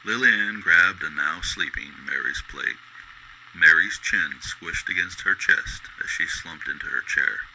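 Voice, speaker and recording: A man narrating a scripted radio drama in a deliberate, descriptive storytelling style. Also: deep voice, vocal fry, sharp tone, slight background noise, low-quality recording.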